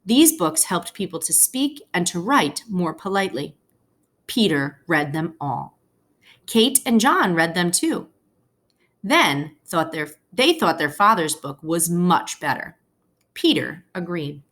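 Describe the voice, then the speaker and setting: A woman teacher reading a picture book aloud as a storybook narrator, phrasing deliberately with pauses for young listeners. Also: on the low side, sing-song, a bit bright.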